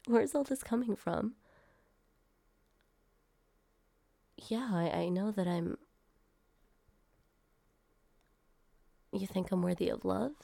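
A guarded young woman opens up to her brand new driver in a one-sided audio roleplay, played by a young woman. Her voice is husky and slightly gravelly, with a dark, mellow tone, and she keeps it hushed, close to a whisper. The sound is dry, with no room around it. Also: mid-range voice, moderate pace, dry recording. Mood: defensive. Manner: soft, hushed, half-whispered delivery.

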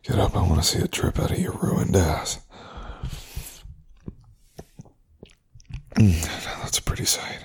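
Man doing a cold, controlling lover: low breathy whisper, close and taunting. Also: fairly quick, heavily acted. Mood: possessive. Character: cold, domineering, cruel male lover. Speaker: audio roleplay performer. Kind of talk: whispered adult audio roleplay.

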